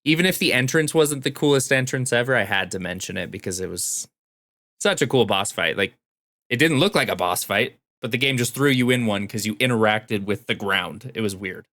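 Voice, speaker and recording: A man's voice: fairly low pitch, expressive, fairly quick, sharp tone, no room sound. A man co-hosting a casual gaming podcast, telling stories in an informal, enthusiastic way. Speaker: podcast co-host. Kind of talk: casual chat about video games.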